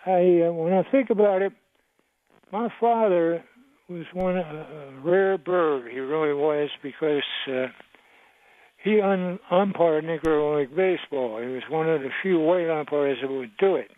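An elderly man in his eighties phones in to a sports talk show, wandering through his memories and losing the thread now and then. His voice sits a little high. It comes through a narrow, phone-like line with no room sound at all. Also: measured pace, no room sound. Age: 80s. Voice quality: rambling, reminiscing. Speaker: caller. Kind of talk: phone-in radio call. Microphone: telephone. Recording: tinny phone line, dry.

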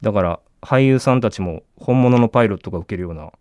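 A man's voice: on the low side, fast, a little mellow, no echo, dull audio. A man chatting casually as a radio co-host, enthusiastically recommending a film in polite, conversational speech. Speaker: podcast co-host presenting his movie ranking. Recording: dry studio with faint background music. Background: faint background music.

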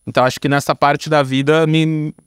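A Brazilian man in his thirties or early forties chats as a podcast guest. His voice is fairly high for a man and recorded very dry. He explains things in an informal, storytelling way, dropping in little tag words. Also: level tone, brisk, clean tone, no room sound. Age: 30s to early 40s. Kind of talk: casual conversational storytelling.

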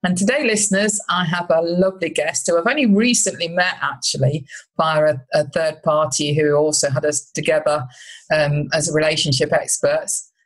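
A woman's voice: low voice, normal speed, poor audio. A woman hosting a relationship podcast, chatting in a friendly way with some restarts. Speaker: podcast host, relationship coach. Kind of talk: casual interview chat.

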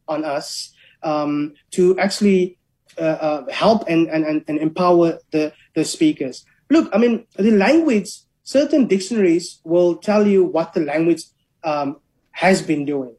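A linguist, explaining language policy in a measured, academic way during an interview. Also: mid-range voice, darkish tone.

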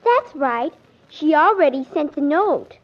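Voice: high voice, very animated, unhurried. A performer playing an innocent, tattling little daughter in a 1940s radio comedy, reciting what she overheard. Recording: muffled 1940s radio recording.

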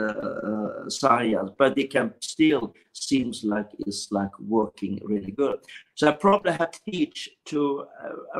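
Earnest man, halting speech. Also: medium pitch, poor audio. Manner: halting, many fillers and restarts, laughs often; non-native grammar, earnest and self-driven. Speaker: guest, health teacher. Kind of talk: casual interview storytelling.

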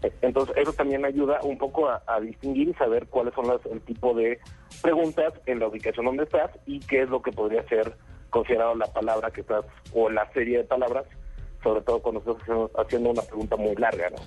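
Mexican man with a husky voice. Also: mid-range voice, steady tone, brisk, muffled recording, faint music behind. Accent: Mexican Spanish. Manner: explanatory, with fillers. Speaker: company spokesman. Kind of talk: interview answers.

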